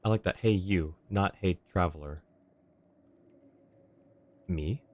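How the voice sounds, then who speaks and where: A man's voice: low voice, slow pace, a little mellow. A man casually commentating on a game livestream, musing aloud and sometimes trailing off. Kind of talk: casual game stream commentary, reading dialogue choices aloud. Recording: game music behind the voice.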